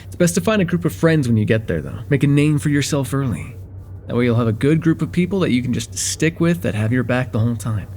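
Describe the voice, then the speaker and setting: A man playing a friendly young college guy, lively pitch swings. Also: fast, a little hiss or hum, lightly acted. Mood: relaxed, chatty. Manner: casual, hesitant, teasing, with soft chuckles and hums. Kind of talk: slice-of-life ASMR roleplay, one-sided conversation with the listener.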